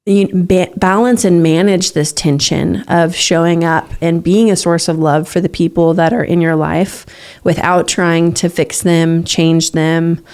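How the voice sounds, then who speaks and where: A woman's voice: on the low side, fairly even intonation, measured pace, a little mellow. A young adult woman co-hosting a relationship podcast, talking in an earnest, flowing, encouraging way. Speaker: podcast co-host. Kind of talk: casual podcast chat about relationships.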